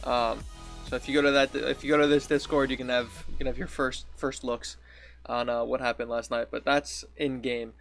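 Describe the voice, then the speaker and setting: A young man with a deep, raspy morning voice, chatting casually as a game streamer into a close studio mic in a small home room, rambling and correcting himself as he goes. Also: little pitch movement, moderate pace, a bit bright, soft background music. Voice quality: deep and raspy after waking. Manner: rambling, self-correcting, friendly. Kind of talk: casual gaming vlog talk. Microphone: studio mic on boom with pop filter, headphones.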